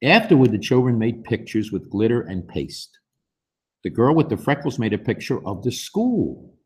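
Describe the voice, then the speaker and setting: A man in his sixties with a husky voice, reading a picture book aloud to young children in an expressive, unhurried way. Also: medium pitch. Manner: expressive, unhurried, with emphatic swings. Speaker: story time host reading aloud. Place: school library, indoors.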